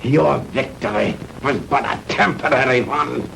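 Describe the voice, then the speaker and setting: An actor playing a grandiose older Nazi scientist in a 1960s B-movie, lecturing pompously and deliberately. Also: male voice, mid-range voice, vocal fry, a bit bright, rough recording. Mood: triumphant. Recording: hissy old 1960s film soundtrack.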